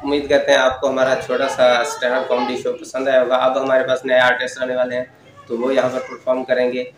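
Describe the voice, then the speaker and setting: A man's voice: medium pitch, monotone, quick pace, echoey room, crowd noise. A young man doing a casual stand-up monologue as host of his own comedy channel, chatty and self-mocking, laughing through his jokes, on a lapel mic in a studio. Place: studio in front of a lit backdrop.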